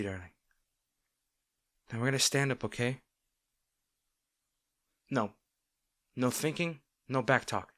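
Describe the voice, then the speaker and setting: A tender boyfriend played by a man in an intimate roleplay audio. He speaks in a mid-pitched male voice, coaxing and gently firm, as if looking after a partner close by. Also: natural voice. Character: caring, gently firm young boyfriend soothing an overworked partner.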